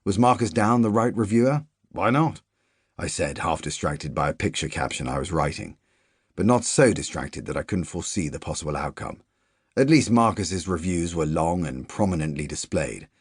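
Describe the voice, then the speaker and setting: A man reading a literary novel aloud as a first-person audiobook narrator, measured and even, dropping to quiet asides in the dialogue. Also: low voice, breathy edge, no room sound, studio quality.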